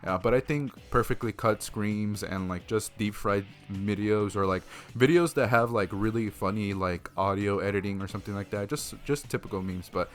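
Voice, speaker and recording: A young man in his twenties with a fairly low voice, talking loosely and casually through viewer questions. He rambles, leans on fillers and swears freely, with faint music playing behind him. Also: moderate pace, few pauses. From Mexico. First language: Spanish. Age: 20s. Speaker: YouTube streamer. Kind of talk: casual Q&A vlog.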